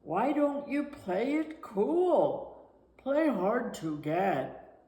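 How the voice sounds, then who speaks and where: Lady doing a tired male bird pal from a kids book, patient advice, slow and deliberate, roomy sound. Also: a little high, very animated, dark tone, voice pushed up, exaggerated voice. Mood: weary, coaxing. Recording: roomy, echoing room sound.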